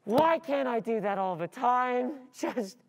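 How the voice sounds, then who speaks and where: A young woman playing an overwhelmed, easily distracted student in a theatrical stage monologue, speaking in a halting, confessional way. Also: a little high, animated, gravelly, exaggerated voice. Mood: desperate. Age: young adult. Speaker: stage actor.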